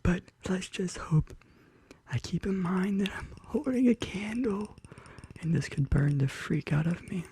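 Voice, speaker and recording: Whispery, very dark-toned ASMR bedtime reader. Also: medium pitch, a little slow, a little hiss or hum. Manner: intimate, whispering and soft murmuring. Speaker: ASMR video creator and host. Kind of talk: soft-spoken ASMR bedtime story. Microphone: close studio condenser microphone.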